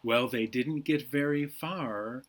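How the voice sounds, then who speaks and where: A man hosting a storytime, reading bedtime stories to children with unhurried, deliberate phrasing. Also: medium pitch. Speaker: library storytime host.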